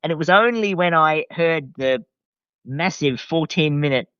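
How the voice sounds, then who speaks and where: A man's voice: on the high side, measured pace, fairly bright tone, clean tone, dry recording. Enthusiastic man, slightly dull recording. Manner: enthusiastic, informal. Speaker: podcast guest. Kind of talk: casual chat.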